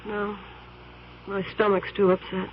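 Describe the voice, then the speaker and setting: An actress playing an anxious, worried wife who speaks in a subdued way, in a 1970s radio drama. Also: female voice, mid-range voice, flat delivery, unhurried, lo-fi sound, slight background noise, lightly acted.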